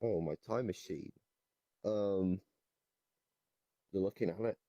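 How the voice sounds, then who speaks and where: Very low-voiced, deadpan young man. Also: slow pace, no echo, lo-fi sound. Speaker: interviewee in improvised comedy chat. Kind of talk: improvised comedic chat. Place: online voice chat in a virtual reality game.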